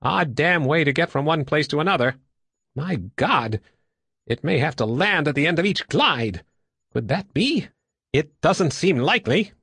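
A man reading an audiobook and voicing a brisk, excitable, blunt and curious pilot with emphatic delivery. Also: fairly high pitch, husky, dry recording, voice pushed up, full character voice. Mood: puzzled, skeptical. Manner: exclamatory, emphatic. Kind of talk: audiobook character dialogue.

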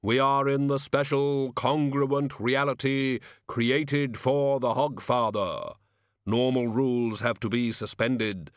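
A man voicing Death as a calm, ancient figure who speaks in a slow, measured, grave way. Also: mid-range voice, clear, resonant tone, no echo, clean studio sound, lightly acted.

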